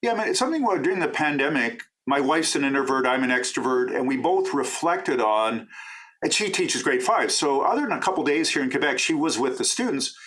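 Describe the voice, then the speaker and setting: A man's voice: mid-range voice, expressive, fairly bright tone. Talkative middle-aged man explaining eagerly. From Canada. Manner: talkative, enthusiastic, quick asides. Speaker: professor and author. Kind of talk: interview answers.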